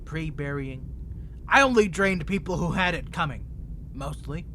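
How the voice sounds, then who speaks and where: An ancient, unhinged male vampire in chains for a dramatic audio roleplay. The voice is bright and sharp, swooping widely in pitch as he rambles, flirts and manipulates. There is clear noise behind him. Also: lively intonation, unhurried. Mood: flippant, menacing. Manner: theatrical, rambling, teasing, swinging between smug and pleading.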